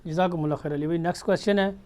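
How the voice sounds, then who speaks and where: A man's voice: a little high, steady tone, racing, a crowd in the background. A man acting as moderator, reading audience questions aloud in a religious session.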